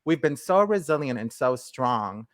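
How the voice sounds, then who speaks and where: A man's voice: mid-range voice, very animated, unhurried. Supportive man co-hosting. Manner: warmly supportive, affirming. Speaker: co-host. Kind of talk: casual interview talk.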